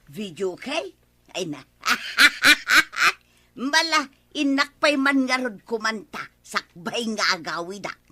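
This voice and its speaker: A woman's voice: fairly high pitch, sing-song, takes it slowly, bright, forceful tone, no echo, exaggerated voice. A woman with an Ilocano accent playing a brash, carefree village wife in a comedy radio drama.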